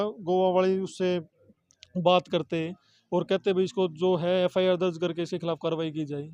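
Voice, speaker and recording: A man's voice: sits high, mellow, no echo, lo-fi sound. A man with a Haryanvi accent giving spontaneous answers as an interviewee. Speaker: interviewee, relative of the person discussed.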